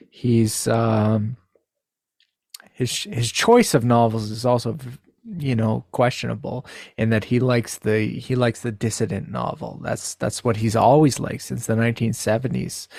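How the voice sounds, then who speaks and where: A man with a gravelly, creaky voice talks slowly in a very dry recording. He is a halting, wry guest who searches for his phrases and puts things bluntly. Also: mid-range voice, darkish tone, no room sound. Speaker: podcast guest, translator. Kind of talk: casual discussion.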